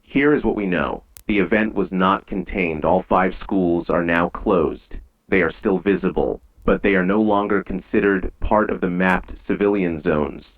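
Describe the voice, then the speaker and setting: A man playing a grave, measured emergency announcer in an eerie analog horror broadcast, with dull, lo-fi old radio sound. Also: sits low, natural voice. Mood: official, grave. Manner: measured, solemn, ominous.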